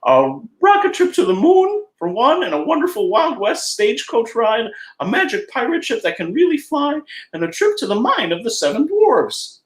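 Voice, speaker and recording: A podcaster reading aloud and putting on a squeaky, chipper falsetto for a polite, upbeat cartoon mouse. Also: very animated, moderate pace, hardly any pauses, lightly acted. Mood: enthusiastic. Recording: roomy echo.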